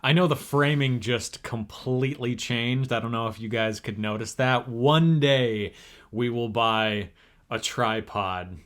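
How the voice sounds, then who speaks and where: A man's voice: medium pitch, measured pace, a bit bright. A young man co-hosting a casual home video, speaking in a laid-back, joking way. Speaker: co-host. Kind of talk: casual chat. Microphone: phone propped on a computer.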